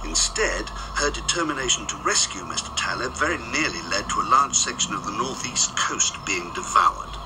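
An actor playing an urbane, precise department chief in a radio drama, measured and dry. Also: male voice, mid-range voice, expressive, background noise, natural voice.

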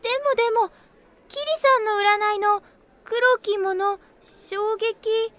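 A very high, sharp, bright voice, for an anxious young anime girl. She speaks slowly and excitably in an audio drama. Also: slight background noise, full character voice.

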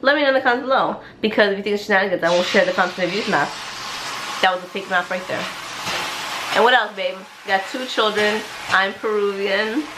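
A woman's voice: medium pitch, fast. A woman in her twenties, a stay-at-home mom, chatting playfully to camera in a family vlog, dropping in Spanish words. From United States. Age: 20s. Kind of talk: casual family vlog chat. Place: home kitchen, indoors. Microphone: handheld camera at arm's length. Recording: echoey room, clear background noise.

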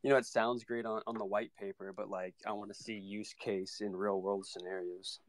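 A man's voice: lowish voice, little pitch movement, normal speed, dry recording. A man co-hosting a live online audio chat, asking questions hesitantly with many fillers. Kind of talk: casual discussion.

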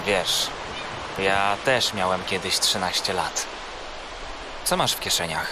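An actor playing a kind, easygoing older brother, gentle and curious, in a children's audio drama. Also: male voice, deep voice, slow, noisy background. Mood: caring, gentle.

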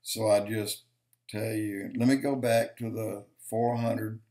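Older man, unhurried, emphatic, repeats for stress, webcam in a home office. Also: fairly low pitch, little pitch movement, warm tone. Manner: slow, emphatic, lists points and repeats for stress. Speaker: video commentator. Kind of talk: preaching-style commentary to camera.

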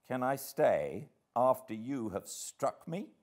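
A man voicing a soft, genteel young gentleman, injured and pleading, in an audiobook. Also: medium pitch, very animated, takes it slowly, pitched above the performer's own voice. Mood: hurt, resolute. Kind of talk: audiobook character acting.

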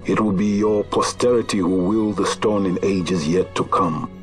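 An actor playing a solemn, aged chief of a horned beast tribe in a video game, with grave, archaic, oracular phrasing. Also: male voice, deep voice, steady tone, moderate pace, faint music behind, natural voice. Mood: ominous, foreboding. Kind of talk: dramatic video game voice acting.

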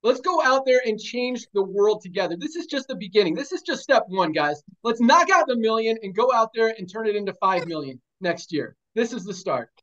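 A high-pitched man in his forties speaks over a webcam on a video call, pumping up his team with repeated rallying cries. His audio is a little dull and lo-fi. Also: big pitch swings, fairly quick, hardly any pauses, a bit bright. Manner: rallying, repetitive hype phrases. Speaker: host of a sales team call. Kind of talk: energetic motivational call. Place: home room, video call.

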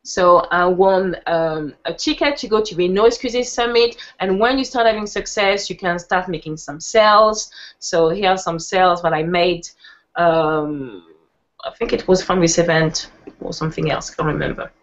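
A woman's voice: on the low side, normal speed. A woman with a French accent giving a casual online presentation, chatty with lots of fillers and restarts. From France. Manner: chatty, laughs easily, many fillers and restarts. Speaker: webinar presenter. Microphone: video call. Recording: muffled online call audio.

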